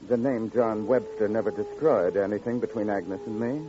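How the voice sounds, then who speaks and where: Actor playing flippant smooth charmer, florid speech, deepish voice, old radio drama, dull recording. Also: male voice, moderate pace, natural voice. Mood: smug, mocking. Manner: pompous, florid, mocking. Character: suave, flippant gigolo running a lonely hearts club.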